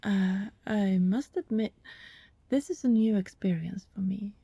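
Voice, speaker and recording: A woman playing an anxious, apologetic vampire neighbor in an ASMR roleplay, soft and hesitant, often whispering, with politely formal wording. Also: mid-range voice, a little slow, gravelly, slightly rough, no echo.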